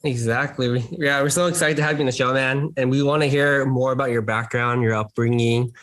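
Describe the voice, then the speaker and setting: A man's voice: mid-range voice, fairly even intonation, brisk, fairly bright tone. Upbeat male podcast host. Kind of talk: casual interview chat.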